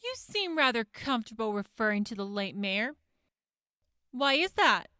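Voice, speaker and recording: A woman's voice: on the high side, very animated, moderate pace, sharp tone, clear, resonant tone, dry recording, studio quality. An actress playing a skeptical woman prosecutor who questions a defendant in a probing way. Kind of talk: audio drama acting.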